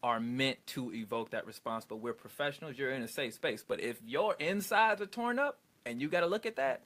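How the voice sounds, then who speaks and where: A man speaking seriously and deliberately, a stage actor appearing as a guest on a panel. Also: mid-range voice, lively intonation, a bit bright, slight background noise. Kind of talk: earnest panel interview talk.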